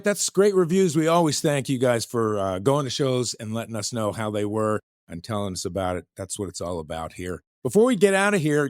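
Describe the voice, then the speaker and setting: A man's voice: lowish voice, no room sound, clean studio sound. A man hosting a music podcast, upbeat and appreciative, chatting casually and steering the conversation. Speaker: podcast host.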